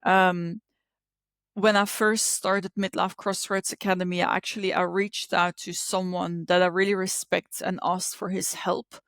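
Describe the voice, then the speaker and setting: A woman's voice: lowish voice, level tone, unhurried, a bit bright, dry recording, studio quality. Thoughtful Belgian-born woman guest. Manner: thoughtful, with many ums and restarts. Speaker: podcast guest, psychologist and coach. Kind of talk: conversational interview.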